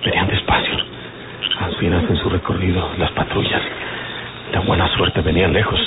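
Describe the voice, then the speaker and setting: An actor playing a wary, cunning bandit with a rural northern Mexican accent in an old radio drama, giving terse, urgent instructions. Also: male voice, low-pitched, fairly even intonation, normal speed, heavy background noise. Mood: tense, cautious. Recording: tinny old radio recording with loud music.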